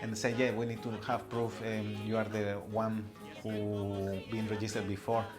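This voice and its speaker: A man from Latin America, speaking halting, plain English as a migrant worker in a documentary interview. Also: on the low side, little pitch movement, music in the background.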